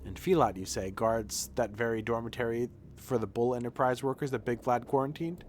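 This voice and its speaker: A man voicing a curt, condescending city doctor in video game dialogue, with a brisk, dry delivery. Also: medium pitch, fairly even intonation, a little hiss or hum, natural voice. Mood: businesslike, superior.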